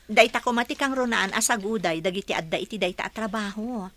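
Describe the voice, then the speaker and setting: An actress playing a polite, earnest young office worker in a radio drama, with an Ilocano Filipino accent. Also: female voice, mid-range voice, fast talker, a bit bright, natural voice.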